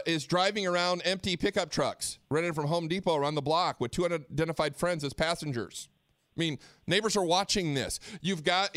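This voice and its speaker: A man's voice: high voice, fairly bright tone, no room sound, studio quality. A man co-hosting a talk radio show, talking conversationally and reading news articles aloud. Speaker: radio co-host. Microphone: studio mic.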